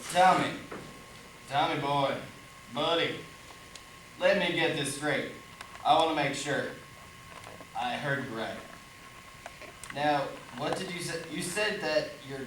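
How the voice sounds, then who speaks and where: An actor playing a brash, naive American with an American accent, folksy and blunt, in a stage play recorded in an echoey room from a distance. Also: male voice, fairly high pitch, slow, pauses often, a bit bright. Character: brash, naive American cowboy-type guy Woody. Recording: echoey room from far off, hissy.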